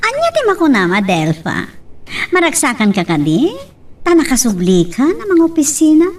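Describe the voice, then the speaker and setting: A woman's voice: sits high, huge pitch swings, normal speed, a little mellow. A woman with an Ilocano accent playing a taunting, possessive young love rival in an amateur audio drama. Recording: noisy, distant mic.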